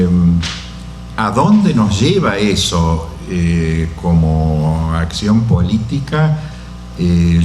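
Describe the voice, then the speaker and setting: A man answering audience questions after a lecture, slow and halting with many fillers. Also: low voice, warm tone, roomy sound, a little hiss or hum. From Argentina. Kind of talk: academic Q&A answer.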